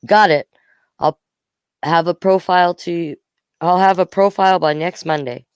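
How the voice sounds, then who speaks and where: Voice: mid-range voice, fairly even intonation, unhurried, clear, resonant tone, no echo, voice pushed up, natural voice. Keen schoolgirl reporter for a storybook, earnest and conscientious, clean voice lifted a little, acted by the book's reader. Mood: eager. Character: eager, conscientious young girl student reporter.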